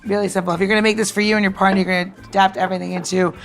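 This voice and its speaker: A woman's voice: mid-range voice, level tone, very fast, faint music behind. A woman co-hosting a podcast, joking playfully and acting out little quotes in casual chat. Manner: playful, jokey, acts out quotes. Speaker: podcast co-host making cocktails.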